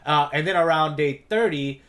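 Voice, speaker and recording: A man with a somewhat higher-pitched voice talks as a podcast co-host. He recaps in an informal, conversational way, often checking in with his partner. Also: moderate pace, fairly bright tone. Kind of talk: casual conversational story recap.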